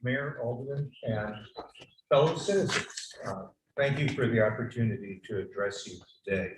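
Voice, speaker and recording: A man, a resident, giving a loud, earnest public comment at a town hearing and growing more forceful as he goes. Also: mid-range voice, normal speed. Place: town meeting room. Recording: echoey hall, mic far off, faint noise.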